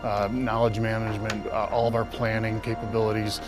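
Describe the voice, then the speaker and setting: Low-voiced military man over music. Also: completely flat delivery, fairly quick. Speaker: air force communications airman. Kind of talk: documentary interview.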